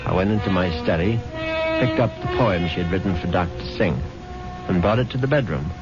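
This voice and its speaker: A man's voice: deep voice, fairly quick. An actor playing a scheming husband who narrates his own crime and poisons his rich wife, in 1970s radio drama style. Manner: calm, coaxing, darkly amused. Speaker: narrator and lead character. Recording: dull old radio broadcast with loud music.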